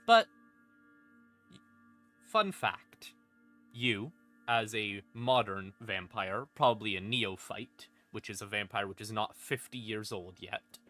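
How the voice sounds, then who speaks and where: Male streamer, forceful bright voice, casual sweary lore explainer with wry asides, eerie ambient music underneath. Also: on the low side, lively intonation, slow, pauses often. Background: creepy ambient music.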